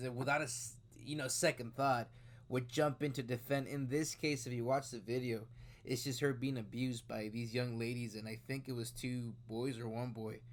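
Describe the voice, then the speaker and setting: A man in his late twenties reacts to a news story on his own channel, speaking at an ordinary middle pitch. His talk is casual and rambling, with plenty of fillers and false starts. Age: 20s. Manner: rambling, conversational, with frequent fillers and restarts. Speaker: video host reacting to news. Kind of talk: casual commentary.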